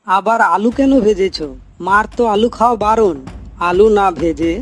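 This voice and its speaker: A man's voice: high voice, normal speed, a bit bright. A man voicing a dutiful, concerned grown son and husband in a cartoon, earnest and chiding. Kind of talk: cartoon moral story voice acting.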